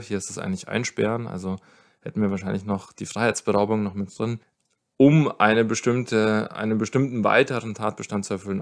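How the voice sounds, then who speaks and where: A man with a fairly low voice and a pronounced creak gives explanatory answers as a legal expert. He lays things out step by step, rewording as he goes.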